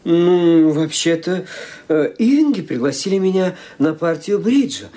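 An actor playing an obliging young nephew in a radio play, speaking politely with some hesitation. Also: male voice, on the high side, expressive, unhurried, mellow. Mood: hesitant. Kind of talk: studio radio play acting.

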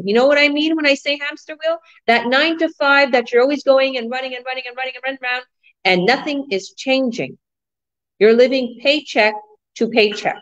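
A woman hosting an online business talk over a video call, pitching with enthusiastic, repetitive sales energy. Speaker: host. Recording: muffled video call audio.

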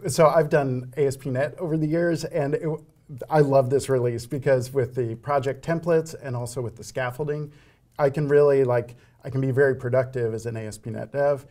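A man in his forties chats on a studio stage in an even, mid-pitched voice. He is easygoing and agreeable, backing his guest with quick "yeah"s and adding his own developer views in plain, conversational talk. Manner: affable, agreeing, frequent "yeah" and "mm-hmm" backchannels. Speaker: show host and developer. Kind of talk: casual tech interview chat. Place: bright studio stage with screen behind.

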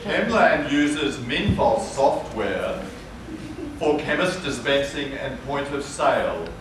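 A man's voice: medium pitch, big pitch swings, slow pace. A man reading scripted narration for a stage play. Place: theatre with audience. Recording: echoey theatre from far off, noisy.

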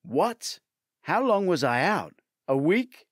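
A man's voice: fairly high pitch, sing-song, takes it slowly, husky, no echo, clean studio sound, pitched above the performer's own voice, heavily acted. A man voicing an injured, sardonic male investigator in an audiobook, terse and questioning. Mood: confused. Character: injured groggy male investigator, blunt, sardonic, demanding answers.

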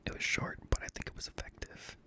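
Young man whispering ASMR horror narration. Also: quick pace. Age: young adult. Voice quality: breathy whisper. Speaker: host and reader of scary stories. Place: small indoor home studio room. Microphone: close boom-arm studio microphone.

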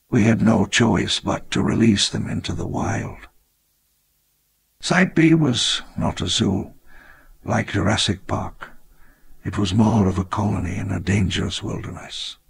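A grand old dreamer recalling his failed island of dinosaurs. He speaks in a low, heavily gravelly voice, unhurried and grave, for a game's narrated memoir. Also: full character voice. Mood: reflective. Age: older. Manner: measured, reflective, grandly solemn. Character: elderly grandiose dinosaur-park founder, wistful. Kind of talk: scripted memoir narration for a game.

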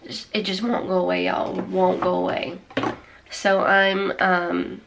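A congested woman in her forties with a drawl rambles to her phone at arm's length in a small room at home. She talks slowly, full of fillers and restarts, in a lowish voice. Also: completely flat delivery, gravelly, background noise. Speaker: vlogger. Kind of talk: casual chat. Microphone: camera or phone at arm's length.

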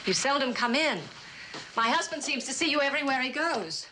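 An actress playing a scientist's poised but uneasy wife, politely probing, in an old suspense drama. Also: female voice, high voice, lively intonation, fairly quick. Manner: polite, pointed questioning. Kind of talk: mid-century American suspense drama acting. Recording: old broadcast with faint noise.

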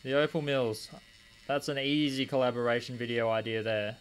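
Brief Young adult man, mid-pitched voice. Casual live-stream host who rambles and answers viewer comments as he works at a small home desk. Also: level tone, normal speed, clean tone, low-quality recording. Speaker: stream host polishing gemstones. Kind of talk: casual live-stream chat while working. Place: small home desk corner with a rotary tool running.

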